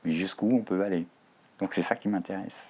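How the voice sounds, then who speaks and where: Low-voiced Swiss French man, hesitant. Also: steady tone, a little fast, dark tone. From Switzerland. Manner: thoughtful, hesitant with frequent fillers. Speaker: author guest. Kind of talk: TV studio interview.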